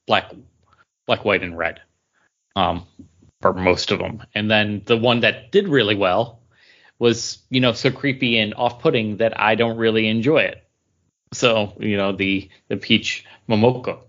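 A man's voice: on the low side, fairly even intonation, measured pace, a bit bright, highs cut off. A man co-hosting a podcast, explaining and reviewing in casual chat. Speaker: podcast co-host reviewing comics.

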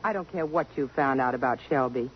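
A woman's voice: extremely low pitch, a little fast. An actress playing a poised, proud young career woman in a 1950s radio drama, speaking in an earnest, refined, emphatic way. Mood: indignant, defensive. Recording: muffled, noisy vintage radio broadcast.

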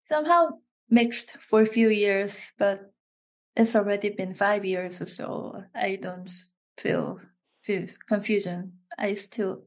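A woman from South Korea with a Korean accent, talking as an interview guest in a halting way, often restarting and laughing. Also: mid-range voice. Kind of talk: casual interview.

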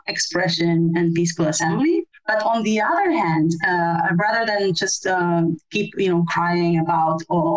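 A woman's voice: fairly low pitch, rough recording. A middle-aged woman from Argentina, a podcast guest answering at length in a thoughtful, conversational way with many fillers and restarts. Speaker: podcast guest, civil society researcher.

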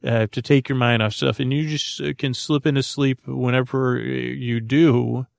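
Male sleep podcast host, gravelly with lots of vocal fry, dry sound, meandering and goofy improvised monologue, hesitant phrasing. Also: mid-range voice, steady tone, dry recording. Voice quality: creaky, gravelly voice. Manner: meandering, halting, goofy, trails into tangents. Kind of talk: rambling improvised bedtime monologue.

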